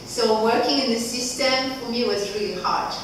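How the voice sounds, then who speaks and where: A woman's voice: fairly high pitch, moderate pace. Woman, 40s, French accent, chatty workshop talk, far from the mic, echoey room. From Switzerland. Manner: chatty and loose, many "like" fillers. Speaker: workshop facilitator. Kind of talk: casual group talk. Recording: echoey room, distant mic, background noise.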